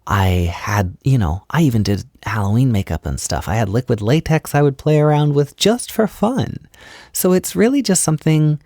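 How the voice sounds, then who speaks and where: A man playing a gentle, affectionate boyfriend in an intimate roleplay audio, speaking softly and soothingly, with unhurried reassurance. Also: medium pitch, expressive, no room sound, studio quality, close to an everyday voice. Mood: encouraging, reassuring. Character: gentle, affectionate boyfriend comforting his insecure partner. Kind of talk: intimate roleplay audio, soft-spoken ASMR.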